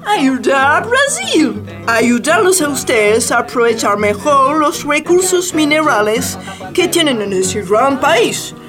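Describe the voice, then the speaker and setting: A woman's voice: sits high, very animated, takes it slowly, music in the background, exaggerated voice. A woman playing a scheming, absent-minded American diplomat in a satirical radio play, dropping in English interjections. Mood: persuasive. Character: smooth-talking, scheming American embassy mineral attaché, absent-minded.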